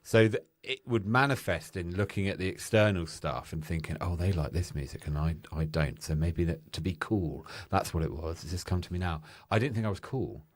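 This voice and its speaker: A man with a loud, exuberant and flamboyant way of speaking, his voice sitting on the lower side. He talks candidly and openly in a relaxed podcast chat. The studio mic sounds dry, with hardly any room echo. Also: fairly quick, hardly any pauses.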